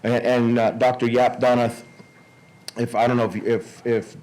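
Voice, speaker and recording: A man's voice: medium pitch, flat delivery, measured pace, a little mellow, rough recording. A man giving an informal public speech, often repeating words and restarting as he goes. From Miami, United States. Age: 60s. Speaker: conference speaker.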